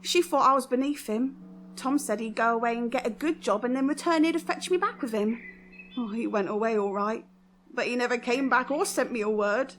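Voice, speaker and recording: Young servant girl, female actor: working-class English, high, emotional, despairing, old radio drama with slight background noise. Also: brisk, lightly acted. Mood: forlorn. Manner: plaintive, emotional. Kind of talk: 1940s radio drama acting.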